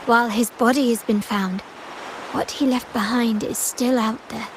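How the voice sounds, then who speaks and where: An actress voicing a poised, elegant young female agent in a video game, refined and measured with a coolly teasing manner. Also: on the high side, fairly even intonation, noisy background, lightly acted. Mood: businesslike, composed. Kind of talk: scripted video game character dialogue. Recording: music behind the voice.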